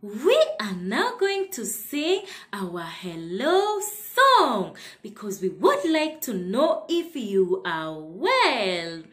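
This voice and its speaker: A woman's voice: medium pitch, wildly swooping pitch, very slow, hardly any pauses, fairly bright tone. A woman preschool teacher telling a story to young children in a sing-song way, repeating phrases and asking them questions.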